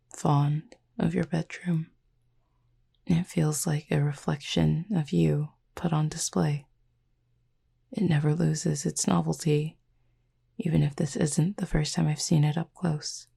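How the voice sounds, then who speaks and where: Woman playing a low, husky, formal demon roommate. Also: exaggerated voice. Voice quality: husky, breathy, gravelly low voice. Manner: formal, measured, softly teasing speech. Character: calm, formal, gently teasing cupid demon roommate with horns. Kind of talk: soft-spoken roleplay sleep-aid audio.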